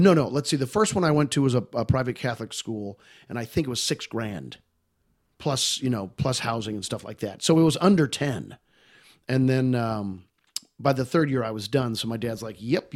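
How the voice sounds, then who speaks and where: A man's voice: medium pitch, lively intonation, moderate pace. A man in his fifties, a podcast guest, chatting casually and telling long stories about family life. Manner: chatty, tells long anecdotes. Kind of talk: casual chat.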